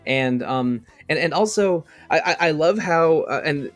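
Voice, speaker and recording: A man chatting on a podcast panel about game music, enthusiastic and halting, often restarting and repeating words. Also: a little high, animated.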